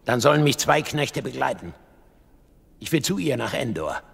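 A man's voice: mid-range voice, big pitch swings, normal speed, creaky, fairly bright tone, husky, in a large hall, heavily acted. A man playing a troubled, commanding king in a dramatic radio play, imperious and urgent.

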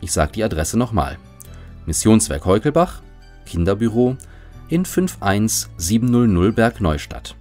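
Low, lively male radio host. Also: measured pace. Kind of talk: scripted address to young listeners. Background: music.

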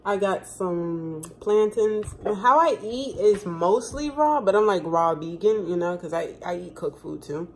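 A woman's voice: mid-range voice, animated, a little slow, few pauses. A young woman in her twenties talks straight into a phone propped on her kitchen counter, rambling and chatty, full of fillers and little laughs. Her voice sits in a middle register, and a faint hum of room ambience sits behind it. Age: 20s. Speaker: vlogger. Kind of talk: casual chat. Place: home kitchen, indoors. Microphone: phone camera propped close on the counter.

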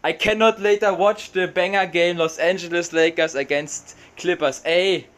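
A young man with a German accent speaks close to the camera in a small room. His voice sits high, and he talks in an excitable, chatty vlog style, dropping in Tagalog words. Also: moderate pace. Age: young adult. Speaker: vlogger. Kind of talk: casual reaction vlog.

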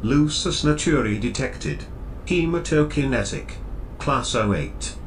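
Medical computer for sci-fi audio drama male performer: impassive, clinical, mid-pitched, slight noise behind. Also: animated. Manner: measured, clinical. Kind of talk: science-fiction audio drama acting.

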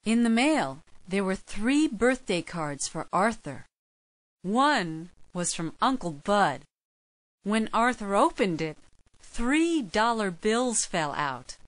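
A woman's voice: mid-range voice, big pitch swings, slow, no room sound. A woman narrating a children's storybook from a script as the storyteller.

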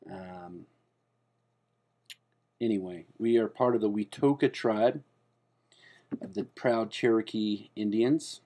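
A rambling, self-important man, played by a man, stumbling through his points in a slow, drawn-out way. His voice sits low with a mellow, dark tone. He delivers every absurd claim with deadpan earnestness. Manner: hesitant and rambling, full of fillers and restarts. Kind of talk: comedic spoof monologue to camera.

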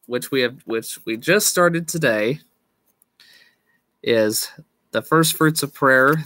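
A man in his thirties chatting casually with viewers on a live stream from a small room at home, slowly losing his voice and speaking in halting restarts. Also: mid-range voice, bright, forceful tone, no room sound. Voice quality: slow, halting, with frequent restarts and laughs. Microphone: webcam at desk.

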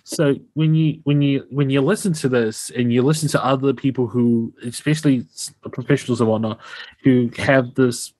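A man's voice: mid-range voice, fairly even intonation, moderate pace, darkish tone, poor audio. A young man hosting a podcast over a video call with a headset, talking in an effusive, enthusiastic way. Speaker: podcast host. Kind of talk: casual interview chat. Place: home room, indoors.

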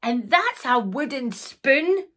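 A woman voicing an indignant, plaintive little girl in a children's picture book reading, with loud, drawn-out protests. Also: sits high, very animated, full character voice. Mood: exasperated.

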